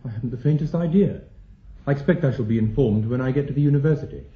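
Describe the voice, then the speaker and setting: A British actor playing an earnest, anxious young mathematician who is quick to indignation, in a radio drama. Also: male voice, mid-range voice, narrow, tinny audio, lightly acted. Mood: guarded. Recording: roomy, echoing radio drama recording.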